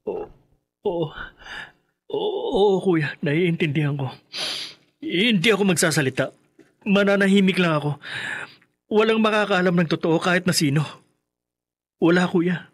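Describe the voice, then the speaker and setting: Takot, mataas ang boses na binata. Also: male voice, high-pitched, a little slow, pauses often. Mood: terrified. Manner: stammering, pleading. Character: frightened, guilt-ridden younger brother hiding a murder secret. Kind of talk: Filipino radio horror drama acting.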